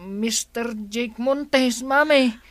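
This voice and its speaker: A woman's voice: fairly high pitch, steady tone, drawn-out words, no echo. A woman with a Filipino accent playing an earnest, questioning schoolboy son in a radio drama. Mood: eager.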